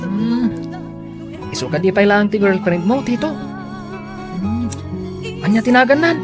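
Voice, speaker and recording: A woman's voice: medium pitch, lively intonation, a little slow, over music, natural voice. A woman with an Ilocano accent acting a friendly, teasing young relative in a radio drama.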